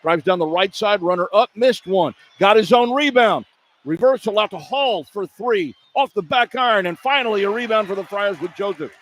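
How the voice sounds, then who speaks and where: High-pitched American man calling basketball play-by-play. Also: lively intonation, normal speed, a bit bright. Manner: clipped running phrases following the action. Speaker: radio play-by-play announcer. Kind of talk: live basketball play-by-play commentary. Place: courtside in an indoor arena. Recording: clear background noise, live arena broadcast.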